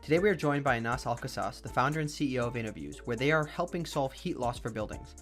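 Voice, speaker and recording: A man's voice: medium pitch, lively intonation, quick pace, a bit bright, soft background music. A man hosting a podcast, reading a scripted intro and then asking upbeat, rambling interview questions. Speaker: podcast host.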